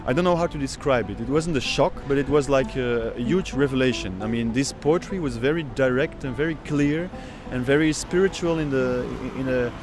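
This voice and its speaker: A man's voice: medium pitch, fairly quick, few pauses. Reflective man in his thirties over music. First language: Dutch. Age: 30s. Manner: thoughtful, searching for words with many fillers. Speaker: oud player. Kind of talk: reflective documentary interview. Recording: music playing behind.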